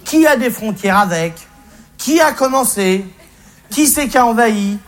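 A man's voice: very high-pitched, lively intonation, a little slow, a faint crowd. A male stand-up comedian delivering a colloquial, sweary, faux-naive rant on stage. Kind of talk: comic stage monologue. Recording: noisy live recording with audience. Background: audience laughter.

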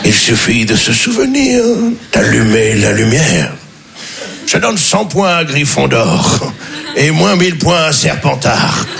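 A young man performing a stand-up comedy set on stage before a live audience, playful and quick to jump into high squeaky voices for the people he quotes. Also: sing-song, gravelly, a bit bright.

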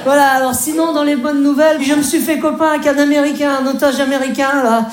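A woman's voice: high voice, fairly even intonation, fast, lightly acted. A woman playing a whiny naive young man on the phone. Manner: rambling, hesitant, full of fillers. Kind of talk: stand-up character sketch. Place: stage before a live audience. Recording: echoey live stage, audience noise.

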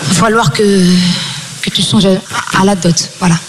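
A woman's voice: mid-range voice, normal speed, a little hiss or hum, poor audio. A woman from Belgium doing stand-up on stage, firing back sassy, laughing retorts. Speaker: stand-up comedian. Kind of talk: stand-up comedy banter on stage. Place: live show before a loud audience. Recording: live stage mic over a loud crowd.

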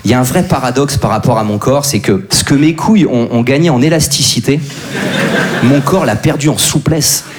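A man's voice: medium pitch, brisk. A man in his forties doing a crude, brash, self-deprecating stand-up set. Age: 40s. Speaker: stand-up comedian. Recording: live show with audience.

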